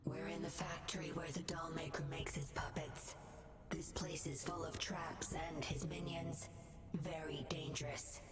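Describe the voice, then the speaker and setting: A woman playing a sly, composed undercover agent in a video game, teasing, unhurried and quietly commanding. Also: deep voice, flat delivery, loud music behind the voice. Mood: secretive whisper. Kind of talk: video game character acting.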